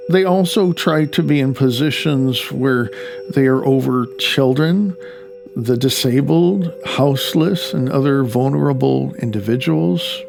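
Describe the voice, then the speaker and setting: A man reading his own nonfiction audiobook as its author, with deliberate phrasing and dramatic pauses. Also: medium pitch, creaky. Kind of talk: audiobook narration.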